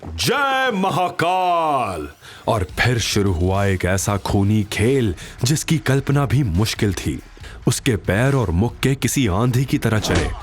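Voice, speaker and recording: Animated male dramatic story narrator. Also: lowish voice, unhurried, slight background noise. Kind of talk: dramatic scripted Hindi audio story narration. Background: faint background music.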